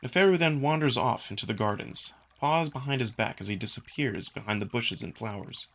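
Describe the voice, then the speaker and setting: A man's voice: mid-range voice, animated, fairly quick, a bit bright. A man reading scripted first-person narration for a visual novel as the narrator.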